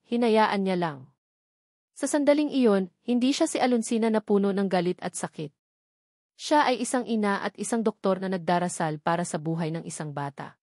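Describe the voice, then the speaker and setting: Female Filipino story narrator, mid-pitched, very dry recording, for scripted romance drama. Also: a little mellow, no echo.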